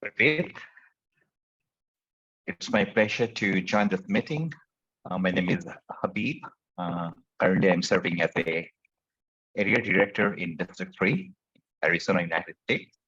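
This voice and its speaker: Formal area director from Bangladesh, deep and husky, on dull call audio. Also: male voice, a little slow, long pauses, fairly bright tone. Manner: brief, formal. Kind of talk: formal self-introduction. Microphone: video call.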